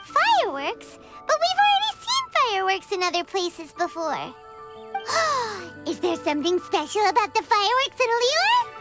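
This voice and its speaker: A woman voicing a tiny, excitable female fairy companion in a game, bubbly and full of exclamations. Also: very high voice, normal speed, exaggerated voice. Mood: curious. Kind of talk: game cutscene voice acting. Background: game music.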